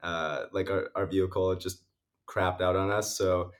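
A young man with a very low voice talks through his music in a loose, rambling way. He fills his answers with fillers and laughs. He sounds a bit sniffly. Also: level tone, unhurried. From Canada. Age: young adult. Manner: rambling, many likes and uhs, laughs often. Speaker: musician guest. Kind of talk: casual interview answers.

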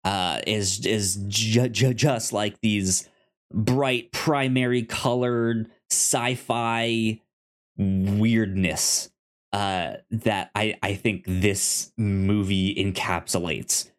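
A man's voice: on the low side, fairly even intonation, drawn-out words. A man co-hosting a film podcast, talking casually and off the cuff, stammering and repeating the starts of words. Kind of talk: casual, unscripted recommendations.

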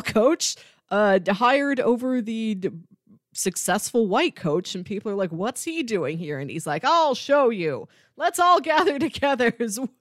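Animated, higher-voiced woman in casual chat. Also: no room sound, studio quality. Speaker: podcast co-host.

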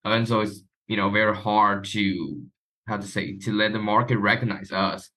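A man's voice: fairly low pitch, fairly even intonation, moderate pace, bright, forceful tone. A man from Taiwan, a guest chatting casually in an interview. His phrasing is loose and sprinkled with "you know". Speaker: guest, marketing professional.

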